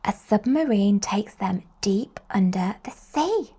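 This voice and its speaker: A woman reading picture books aloud to young children in an expressive, slow storytelling manner. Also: medium pitch, slightly rough. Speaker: children's storybook reader and host.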